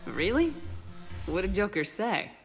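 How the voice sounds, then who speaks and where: Plain-spoken male commander, higher-pitched. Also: big pitch swings, measured pace, fairly bright tone, slightly rough, faint music behind, heavily acted. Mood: friendly. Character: human male military commander, supportive, reassuring, casual. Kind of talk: scripted video game dialogue.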